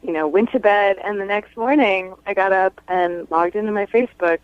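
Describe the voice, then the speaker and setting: A woman's voice: mid-range voice, moderate pace, smooth, dry recording. A woman in her thirties calling a radio show, telling her story casually and emphatically with lots of "like" and some disbelief. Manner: incredulous, emphatic, heavy use of "like". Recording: dull telephone line on radio.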